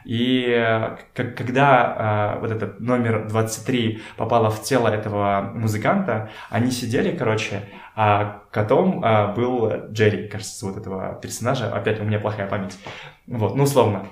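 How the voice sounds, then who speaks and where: A man talking casually as a podcast guest, telling stories in a halting way as he searches for words. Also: on the low side. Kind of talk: casual conversational storytelling. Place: indoors in a home. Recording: echoey room.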